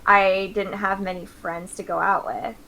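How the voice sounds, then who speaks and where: A woman's voice: medium pitch, little pitch movement, unhurried, gravelly, pushed and bright, poor audio. A woman in her twenties chatting casually on her stream while drawing, with plenty of fillers. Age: 20s. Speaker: streamer host.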